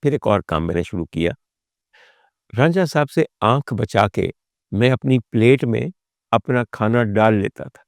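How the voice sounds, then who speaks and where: Gravelly Pakistani man telling personal stories. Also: medium pitch, dry recording, clean studio sound. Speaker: talk show guest and storyteller. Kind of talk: personal storytelling monologue to an audience.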